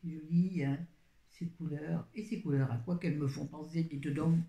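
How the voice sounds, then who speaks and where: A woman's voice: low-pitched, fairly even intonation, moderate pace, warm tone. A woman chatting casually about books to her viewers, rambling and often interrupting herself. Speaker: book vlogger. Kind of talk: casual book review chat. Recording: roomy echo.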